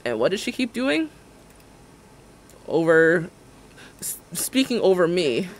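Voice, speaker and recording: A man's voice: sits high, big pitch swings, slow pace, slight background noise. A young man in his twenties ranting casually on a livestream, sarcastically mimicking other people's words. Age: 20s. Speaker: streamer. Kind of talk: casual livestream chat while building in a game.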